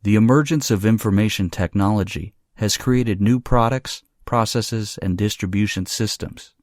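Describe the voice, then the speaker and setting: Low-voiced man, dry studio-like reading. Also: moderate pace, no room sound. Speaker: narrator. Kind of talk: scripted read-aloud of short exam passages.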